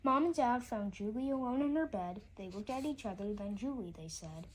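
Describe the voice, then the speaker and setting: A woman's voice: on the high side, animated, normal speed, slightly rough, rough recording. A woman reading a rhyming children's story aloud, often stumbling and repeating words as she goes.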